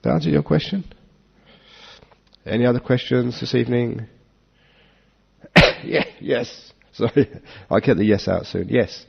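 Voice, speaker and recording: A man giving a relaxed Dharma talk to an audience, joking and chuckling as he answers questions. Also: mid-range voice, unhurried, creaky, pauses often, slight background noise. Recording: dull lo-fi talk recording.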